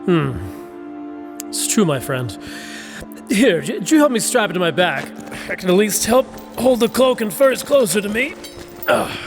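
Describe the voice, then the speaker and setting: A man's voice: sits high, sing-song, normal speed, long pauses. An actor playing an earnest, devout and wary male adventurer in a fantasy audio drama, speaking hesitantly. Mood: earnest wonder. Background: music.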